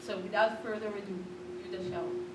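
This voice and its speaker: A woman's voice: mid-range voice, steady tone, quick pace. Woman reading her intro speech, earnest, recorded far off in a roomy hall with some noise. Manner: earnest, read from notes. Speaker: event moderator. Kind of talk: prepared speech. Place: lecture hall.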